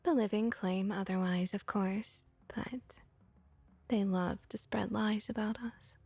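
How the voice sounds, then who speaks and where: Soft breathy woman acting as a vampire barmaid, slow, gentle, comforting, friendly, ASMR roleplay, often near a whisper. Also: medium pitch, steady tone, no echo. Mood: soothing, reassuring. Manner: gentle, unhurried, reassuring.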